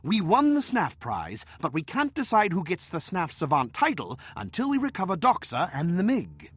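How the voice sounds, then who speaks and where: A man's voice: high voice, huge pitch swings, normal speed, fairly bright tone, breathy edge, no echo, exaggerated voice. A man voicing a pompous, exacting small gnomish inventor who leads a research team in a video game, speaking precisely and formally. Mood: officious, businesslike. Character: pompous, exacting male asura inventor leading a research krewe. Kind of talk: video game cutscene voice acting.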